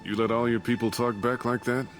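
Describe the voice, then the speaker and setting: A man's voice: medium pitch, flat delivery, a little fast, lightly acted. A middle-aged man playing a disgraced, evasive ship captain and father in a video game, talking defensively and justifying himself. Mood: gruff, impatient. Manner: defensive, rationalizing. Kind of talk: dramatic video game voice acting. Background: cinematic background music.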